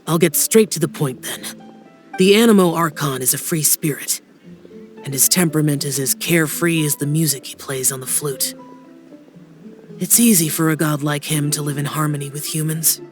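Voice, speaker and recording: A man's voice: fairly high pitch, natural voice. A man playing a reserved, brooding young immortal guardian in a dubbed fantasy game, speaking solemnly and formally, with some hesitation. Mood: pensive, guarded. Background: soft music.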